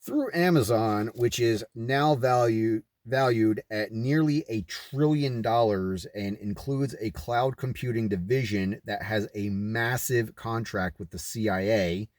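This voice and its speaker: A man's voice: lowish voice, measured pace, few pauses, darkish tone, dry recording. A man reading aloud and adding his own off-the-cuff commentary, with emphatic, rhetorical delivery. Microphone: recording himself on camera.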